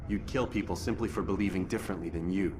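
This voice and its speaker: An actor playing a stern, self-assured assassin in a video game, speaking in a measured, formal and confrontational way. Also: male voice, deep voice, darkish tone, a little hiss or hum, natural voice. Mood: stern, challenging. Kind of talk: video game cutscene acting.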